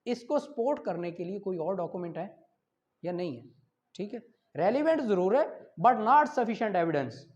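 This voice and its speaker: A man's voice: high voice, expressive, moderate pace, a little mellow. A man with a Pakistani accent lecturing on law, reading out articles and explaining them with rhetorical questions and examples. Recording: dull lo-fi audio.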